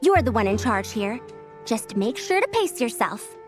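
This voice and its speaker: A woman's voice: on the high side, very animated, moderate pace, music in the background. A woman playing a cheerful, motherly scholar with a youthful sound in a video game, encouraging and fond like a senior.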